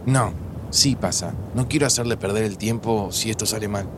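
A man's voice: on the low side, moderate pace, background noise, lightly acted. An actor playing an earnest, insecure middle-aged man with a Rioplatense Argentine accent, speaking hesitantly in a naturalistic audio drama. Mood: guilty, anxious.

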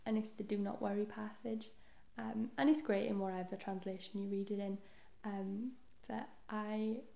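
Young woman, podcast guest, creaky low-key tone, chatty and self-deprecating, roomy echo. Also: fairly even intonation, moderate pace. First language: Welsh. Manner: chatty, self-deprecating, often trails into soft asides. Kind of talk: casual chat.

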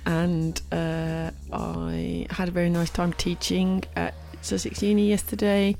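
Slightly croaky woman podcast co-host. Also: fairly low pitch, flat delivery, a little slow, dark tone.

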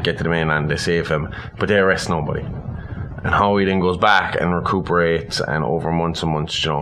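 Deep voiced guy casually recapping a movie on a podcast, bit of background noise. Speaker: podcast co-host. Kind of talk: casual recap of a film story, partly read from notes. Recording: clear background room noise.

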